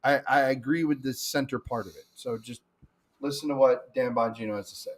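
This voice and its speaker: A man's voice: mid-range voice, fairly quick. A man co-hosting a casual political chat podcast who rambles and often restarts his sentences. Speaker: podcast co-host.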